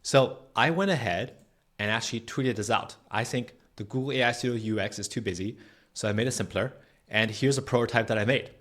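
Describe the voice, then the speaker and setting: A man hosts a how-to tutorial with a medium-pitched voice. He talks conversationally as he explains each step on screen. He often tags his points with a quick check-in to the listener. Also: fairly bright tone. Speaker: tutorial host. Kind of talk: casual screen-share software tutorial.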